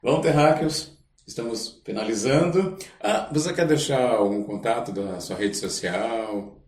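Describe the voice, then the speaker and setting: A man's voice: fairly low pitch, unhurried, darkish tone. A Brazilian man hosting a podcast, chatting casually with his guest. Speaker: podcast host. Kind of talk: casual interview chat. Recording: echoey room.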